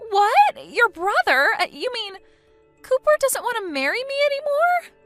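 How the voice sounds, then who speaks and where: A woman putting on a shrill, self-absorbed young woman whose voice leaps high and wide in indignation. The tone is bright and piercing, with faint music underneath. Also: wildly swooping pitch, moderate pace, exaggerated voice. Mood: indignant. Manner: whiny, indignant, high-pitched outbursts.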